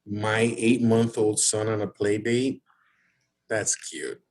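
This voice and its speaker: A man's voice: lowish voice, level tone, slow pace, darkish tone. A man acting in an audio drama as a hurt, exasperated young father rebuffing his ex. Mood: guarded. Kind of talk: naturalistic scripted audio drama.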